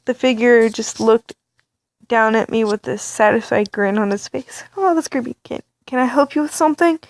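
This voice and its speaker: A woman reading a story game aloud, with animated reactions and stammered asides. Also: fairly high pitch, normal speed. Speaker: let's play reader.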